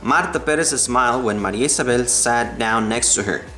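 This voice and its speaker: A man reading a children's storybook aloud in an expressive, deliberate way. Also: mid-range voice, soft background music. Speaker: read-aloud narrator.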